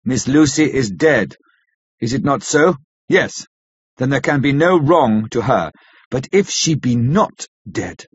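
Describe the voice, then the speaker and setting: A man voicing a grave, elderly foreign professor in an audiobook, stern yet compassionate, speaking in solemn, halting, formal phrases. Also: mid-range voice, animated, breathy edge, no room sound, muffled recording. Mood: measured, effortful. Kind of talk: dramatic audiobook character reading.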